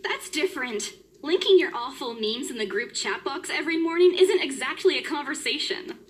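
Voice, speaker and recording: A blunt, teasing young woman from a voiced story game. Her voice sits high and bright for a woman, and she talks to a friend with a playful, needling edge. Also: lively intonation, moderate pace. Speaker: game character.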